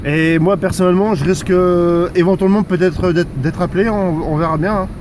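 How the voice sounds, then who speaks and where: A man's voice: sits high, steady tone, brisk, a little mellow. A man giving casual outdoor commentary as a radio operator, hesitant and conversational, often repeating himself. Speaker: amateur radio operator and civil security volunteer. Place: outdoors in a storm. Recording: windy outdoor noise. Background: faint wind noise.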